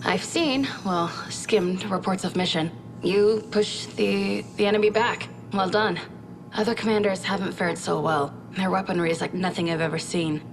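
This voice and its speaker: A woman's voice: medium pitch, steady tone, moderate pace, creaky, background noise, natural voice. A woman playing an earnest, candid scientist who briefs a military commander in a video game cutscene, speaking in a thoughtful, conversational way. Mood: urgent, grim. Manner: conversational, thoughtful, with reflective asides. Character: earnest female scientist advisor, candid, inquisitive and troubled.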